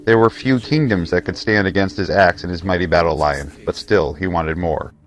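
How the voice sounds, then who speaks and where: A man's voice: sits low, moderate pace. A man narrating a scripted fantasy tale as the storyteller of an audio drama. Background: faint music.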